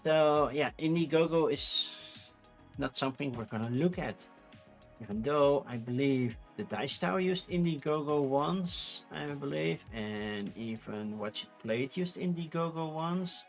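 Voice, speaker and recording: A man's voice: a little high, measured pace, soft background music. A man with a Dutch accent who speaks English as a second language, chatting casually on a livestream, rambling with fillers and restarts. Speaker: streamer.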